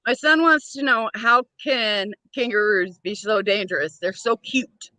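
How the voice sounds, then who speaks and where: A woman's voice: mid-range voice, unhurried, sharp tone, no echo. A woman chatting casually and playfully, passing on her son's questions and laughing easily. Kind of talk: casual chat.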